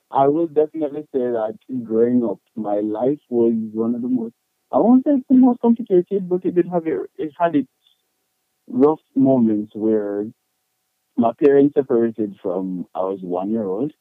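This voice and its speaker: A man's voice: lowish voice, no echo, phone-quality sound. A man with a Jamaican accent telling personal stories as an interview guest, candid and emphatic in colloquial speech. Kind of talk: personal storytelling in conversation.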